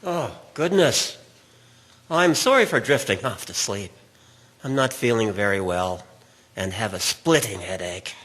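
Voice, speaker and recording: A man's voice: on the low side, animated, a little slow, a bit bright. A man playing an ailing, melancholy army doctor in a radio drama, weary, courteous and formally reflective. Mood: unwell, apologetic.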